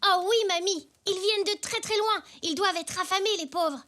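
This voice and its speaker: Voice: extremely high pitch, a little fast, bright, forceful tone. A performer voicing an eager, playful little zebra child in a French children's cartoon. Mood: earnest. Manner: eager, exclamatory. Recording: music behind the voice.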